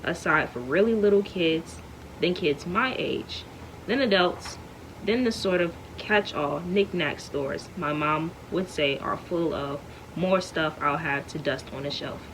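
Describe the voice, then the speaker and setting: A woman reading a chapter of a novel aloud at home in a smooth, steady way. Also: mid-range voice, unhurried, a little hiss or hum, low-quality recording. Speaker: read-aloud reader.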